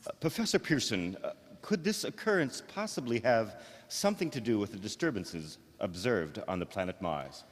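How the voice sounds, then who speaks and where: An actor playing an earnest radio news reporter in an old-time radio drama, speaking in a formal, measured announcer style. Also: male voice, lowish voice, very animated. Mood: formal, announcing. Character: earnest, probing male radio news reporter interviewing live. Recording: hall reverb.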